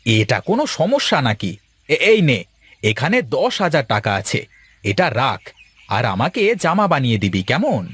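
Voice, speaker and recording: A man playing an excitable, friendly village clothes shopkeeper in a cartoon, jovial and full of exclamations. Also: medium pitch, normal speed, slightly rough, no room sound. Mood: excited, businesslike. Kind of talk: Bengali moral-story cartoon voice acting.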